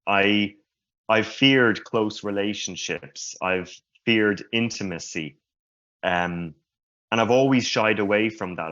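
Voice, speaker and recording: A man who stutters giving a slow, reflective personal talk at a conference. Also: fairly low pitch, a bit bright. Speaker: conference speaker. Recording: muffled lo-fi sound.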